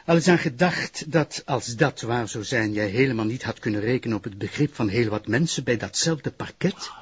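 An actor playing a stern public prosecutor with a Flemish accent in a television crime drama, formal, sardonic and reprimanding. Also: male voice, medium pitch, expressive, moderate pace, husky. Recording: dull, muffled television audio.

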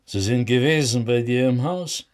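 An actor in a German radio drama playing a terse, uneasy butcher with a Yiddish accent, blunt and insistent. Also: male voice, medium pitch, normal speed, darkish tone, natural voice.

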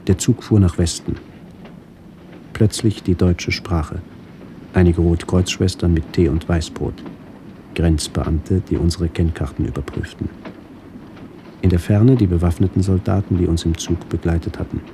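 A man reading scripted literary memories for a radio documentary in measured, fragmentary phrases. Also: sits low, fairly even intonation, background noise. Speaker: narrator recalling childhood memories.

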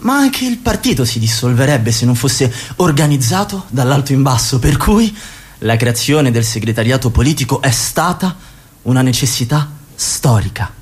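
An actor in a radio play playing a domineering party dictator, with a slow, repetitive, lecturing delivery. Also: male voice, mid-range voice, expressive, natural voice. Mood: doctrinaire. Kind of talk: radio theatre monologue.

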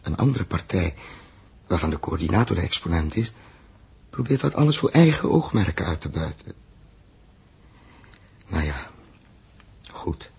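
Male, grave space captain, low and very husky, deliberate, old sci-fi radio play. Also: darkish tone, exaggerated voice. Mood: solemn resolve. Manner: weighty, deliberate, halting over phrases. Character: earnest spaceship captain, solemn and resolute, awed by space. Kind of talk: 1960s Dutch science fiction radio drama acting.

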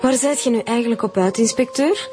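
A woman's voice: a little high, brisk, a little mellow, faint music behind, close to an everyday voice. A woman with a Flemish accent playing a grieving, indignant daughter in a radio drama.

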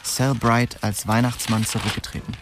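A man's voice: medium pitch, flat delivery, moderate pace, noisy background, close to an everyday voice. An actor playing a wry, streetwise young man narrating his own story in a radio drama. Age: young adult.

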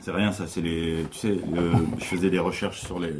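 A man's voice: low voice, level tone, normal speed, heavy background noise, close to an everyday voice. An actor playing a grumpy, casual male police colleague in a radio drama. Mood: flustered. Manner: casual, surly. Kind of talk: scripted radio fiction acting.

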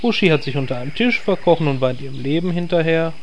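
An actor playing a polite, laid-back druid in a parody audio drama. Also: male voice, fairly high pitch, expressive, quick pace, a little hiss or hum.

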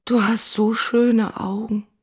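An actress playing a lovesick, volatile single woman, husky-voiced, in a literary audio drama. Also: a little high, level tone, slow pace, a little mellow, exaggerated voice. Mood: tender.

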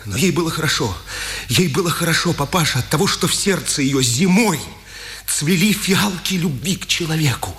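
A man's voice: a little high, expressive, normal speed, fairly bright tone. An actor playing an imprisoned resistance man facing execution, theatrical, poetic and intense, in a Soviet radio drama of the 1950s. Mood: impassioned, reflective. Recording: vintage radio recording with hiss.

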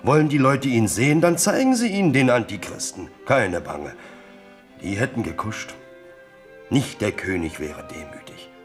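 A man's voice: medium pitch, big pitch swings, normal speed, soft background music, close to an everyday voice. An actor playing a frank, sly soldier courtier who needles his king loyally in a German radio drama. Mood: blunt, provoking. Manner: frank, sly, feigns indifference.

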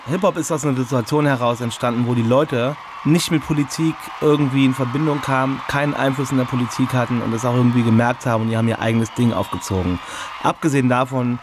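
A man's voice: mid-range voice, quick pace, background noise. A man, a hip-hop artist, giving casual, opinionated interview answers in a blunt, emphatic, colloquial way.

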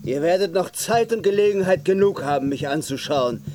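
Ein Schauspieler spielt in einem Hörspiel einen hochmütigen rivalisierenden Ritter, der förmlich und blumig spricht. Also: male voice, sits high, lively intonation, fairly quick. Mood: haughty. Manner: formal, flowery, archaic speech. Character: haughty male rival knight, mock-courtly challenger. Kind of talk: radio play acting.

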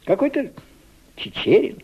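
An actor playing a guarded, formal foreign conspirator with a husky voice in a radio play. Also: male voice, very high-pitched, sing-song, a little slow, a little hiss or hum, exaggerated voice. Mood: curious. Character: guarded male foreign conspirator bringing intelligence. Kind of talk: Soviet radio play acting. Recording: dull old radio recording.

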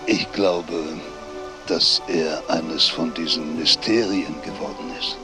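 An actor playing a musing, measured male interviewee in a radio drama's documentary interview. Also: very deep voice. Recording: prominent music behind the voice.

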